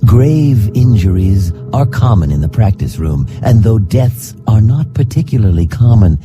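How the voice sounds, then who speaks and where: A man voicing a hard, proud reptilian assassin trainer in a fantasy game, gravelly and growling, speaking in a measured, formal, stern manner. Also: lowish voice, big pitch swings, music in the background. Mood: stern, menacing. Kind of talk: fantasy game character dialogue.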